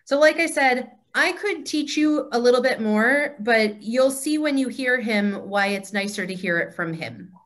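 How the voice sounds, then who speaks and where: A woman's voice: fairly high pitch, animated, a little slow, few pauses. A woman leading a class with explanatory teaching talk.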